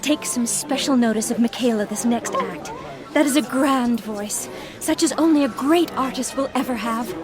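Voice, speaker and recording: An actress playing a poised young socialite with a detective's shrewdness in a radio drama, genteel and self-assured. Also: female voice, a little high, normal speed, music in the background, natural voice. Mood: teasing. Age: young adult.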